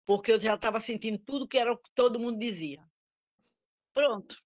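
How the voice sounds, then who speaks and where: A middle-aged woman on an audio-only video call at home, talking in a halting, worried, rambling way. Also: on the high side. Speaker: group participant. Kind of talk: casual chat on a video call.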